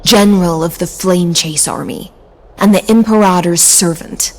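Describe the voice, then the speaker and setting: A woman playing a humble, devoted female knight commander in a video game, with a calm, formal, poetic delivery. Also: on the low side, moderate pace, natural voice. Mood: calm, reverent.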